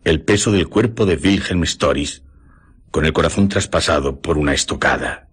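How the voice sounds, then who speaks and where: A man playing a grave eyewitness narrator in a radio drama, husky and measured. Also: very deep voice. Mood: grave, tense. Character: grave male eyewitness recounting an adventure tale.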